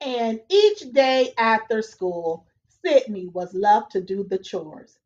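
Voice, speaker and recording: Young woman reading a kids book on her webcam, high lively voice, muffled sound. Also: takes it slowly. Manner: expressive, animated. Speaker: read-aloud reader. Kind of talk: children's storybook read-aloud. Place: room at home, indoors. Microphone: webcam at a desk. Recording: dull lo-fi webcam audio.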